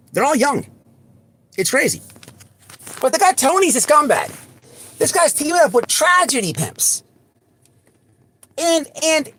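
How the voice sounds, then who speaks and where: A man hosting an unscripted livestream, talking bluntly and repetitively to his viewers, with halting false starts. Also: extremely high pitch, fairly bright tone. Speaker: livestream host.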